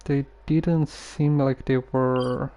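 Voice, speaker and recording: A man giving casual game commentary as a streamer, musing in a halting, hesitant way. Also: mid-range voice, steady tone, noisy background.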